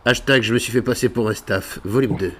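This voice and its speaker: A man's voice: lowish voice, brisk, a bit bright. A man improvising game roleplay and chatting casually, playfully hamming it up with slang. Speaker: gaming video creator playing online roleplay.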